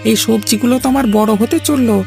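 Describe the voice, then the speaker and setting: A woman acting as a feisty cartoon village farmer woman who rattles off her words with a gravelly creak. She is sharp-tongued and stands her ground, stressing her points like a born scolder. Music plays underneath. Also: a little high, fast. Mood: defiant, indignant. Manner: scolding, argumentative, emphatic. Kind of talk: Bengali cartoon dialogue.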